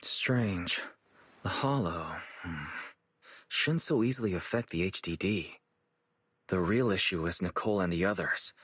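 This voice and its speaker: An actor playing a calm, thoughtful young male operator in an anime-style game, measured and earnest, with a soft, slightly husky voice. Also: low voice, dry recording, heavily acted. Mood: serious, concerned.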